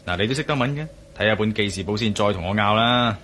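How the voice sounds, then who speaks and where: A man's voice: on the low side, fast talker, a bit bright, slight background noise, close to an everyday voice. A man acting as a worldly, teasing adventurer in a radio drama, with a Hong Kong Cantonese accent and an emphatic, bantering delivery. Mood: serious, firm. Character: worldly, teasing adventurer, urgent when crossed.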